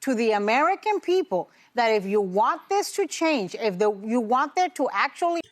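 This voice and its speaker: Woman panelist with a high voice, forceful and indignant. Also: sing-song, normal speed. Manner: indignant, emphatic. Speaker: talk show panelist. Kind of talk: studio panel discussion.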